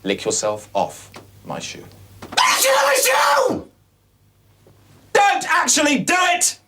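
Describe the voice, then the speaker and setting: A man's voice: very high voice, huge pitch swings, takes it slowly, voice pushed up, exaggerated voice. Man putting on a shrieking, furious bully. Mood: domineering outrage. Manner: domineering, shouting. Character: furious bullying man barking at a groveling underling. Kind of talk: improvised comedy bit. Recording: roomy, distant mic with clear noise.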